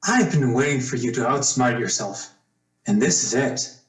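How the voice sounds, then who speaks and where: A man's voice: medium pitch, lively intonation, normal speed, natural voice. A man acting a suspicious, challenging young man in an old-time radio drama. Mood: accusing. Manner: accusing, challenging. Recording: echoey room.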